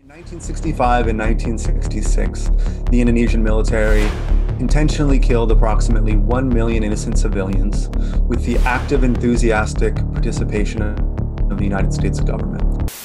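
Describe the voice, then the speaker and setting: A man with a low voice narrates a documentary from a script, with loud music behind him. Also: steady tone, brisk, low-quality recording.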